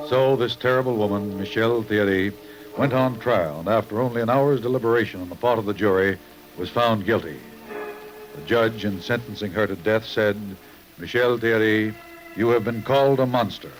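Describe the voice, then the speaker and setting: A man's voice: fairly low pitch, poor audio. A man narrating a 1950s radio crime show as its editor-host, formal, dramatic and emphatic.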